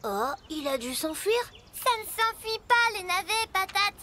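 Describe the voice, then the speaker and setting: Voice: very high-pitched, expressive, measured pace. An actor voicing an eager, playful young child truck in a children's cartoon, with bubbly, exclamatory delivery. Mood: squabbling. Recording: loud music behind the voice. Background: loud children's music.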